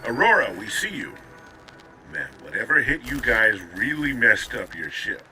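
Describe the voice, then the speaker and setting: Man as a forceful spaceship captain over radio. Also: mid-range voice, very animated, normal speed, soft background music. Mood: brusque, assured. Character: brusque, commanding male spaceship captain. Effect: radio transmission. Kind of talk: sci-fi radio transmission acting. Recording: radio transmission with faint noise.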